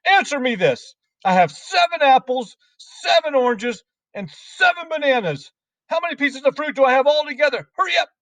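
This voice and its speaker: A man voicing a furious, tyrannical older woman headmistress in a storybook, shrill and bullying as she shouts. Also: sing-song, normal speed, hardly any pauses, no room sound, recorded from a distance, heavily acted, rough recording. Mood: menacing, impatient.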